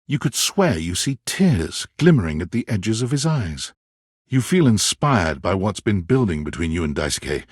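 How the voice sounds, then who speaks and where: A man playing a wry, dramatic storyteller who narrates a romance video game in measured second person. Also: lowish voice, darkish tone, breathy edge, no echo, studio quality.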